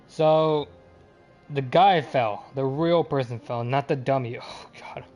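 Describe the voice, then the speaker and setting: Male streamer, medium pitch, offhand muttered reactions to a game, music faintly behind. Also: slow, darkish tone. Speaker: game livestreamer. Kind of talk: casual reaction commentary on a game.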